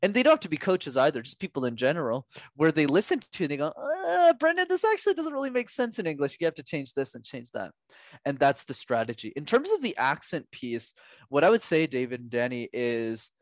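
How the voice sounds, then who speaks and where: Energetic guy explaining his speaking tips, voice jumps all over, pretty high, super dry close mic. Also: no room sound. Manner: energetic, emphatic, animated explanations. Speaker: podcast guest, public speaking coach. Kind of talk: casual interview chat.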